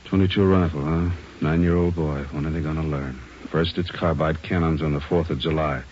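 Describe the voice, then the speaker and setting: An American man playing a blunt, weary, indignant police chief in a 1940s radio drama, gruff in manner. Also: very deep voice, steady tone, a little fast, slight background noise. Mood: bitter, indignant. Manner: gruff, forceful, world-weary. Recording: dull old 1940s radio recording.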